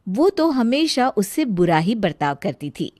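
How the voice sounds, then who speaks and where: A woman's voice: mid-range voice, sing-song, unhurried, a little mellow, dry recording, clean studio sound. A woman narrating a scripted cartoon family story.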